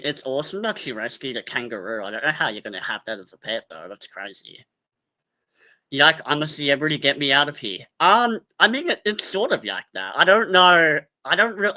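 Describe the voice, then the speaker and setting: Casual young Australian podcaster, male, very bright tone, mid pitch, chatty and profane. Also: rough recording. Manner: chatty, swears casually, laughs at himself. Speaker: podcast host. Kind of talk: casual commentary reading cast bios.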